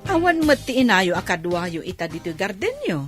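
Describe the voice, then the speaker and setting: A woman's voice: medium pitch, big pitch swings, moderate pace, over music, close to an everyday voice. A woman playing a nosy, scoffing village auntie who pesters for money in a comedy radio drama. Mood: prying.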